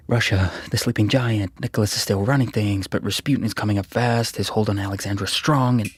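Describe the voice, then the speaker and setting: An actor playing a jumpy, self-mocking young graduate student in a radio drama, husky and nervously muttering. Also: male voice, lowish voice, steady tone, normal speed, no echo, studio quality. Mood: anxious reasoning. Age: young adult. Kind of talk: radio drama acting, interior monologue.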